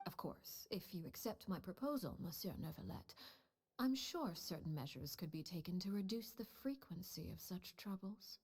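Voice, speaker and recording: A cool, calculating matriarch. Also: female voice, lowish voice, animated, darkish tone. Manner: smooth, measured, veiled threats. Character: composed, calculating female gang matriarch.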